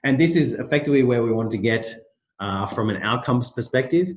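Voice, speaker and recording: A man's voice: mid-range voice, expressive, brisk. A middle-aged man with a nasal voice from a sore throat, presenting a business webinar in loose, rambling speech full of restarts. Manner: loose, repetitive phrasing with many restarts and fillers. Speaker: company managing director presenting a webinar.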